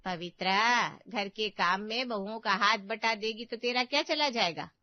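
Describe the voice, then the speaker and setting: A woman's voice: medium pitch, a little slow, dry recording, muffled recording, natural voice. A woman voicing a meddling older aunt in a family cartoon, complaining and lecturing with exaggerated sympathy. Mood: scolding. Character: meddling older aunt, falsely pitying, nagging and fault-finding. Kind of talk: Hindi family cartoon story voice acting.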